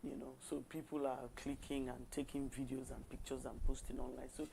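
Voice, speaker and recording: A woman co-hosting a talk show, speaking in a measured way, often pausing on "you know". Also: a bass voice, flat delivery, gravelly, warm tone, poor audio. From Nigeria. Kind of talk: casual discussion on a talk show.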